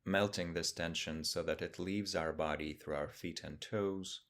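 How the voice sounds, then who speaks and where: A deep-voiced man teaches a guided meditation. He speaks in a calm, measured way, setting out short phrases one at a time. Long pauses separate each instruction. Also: gravelly.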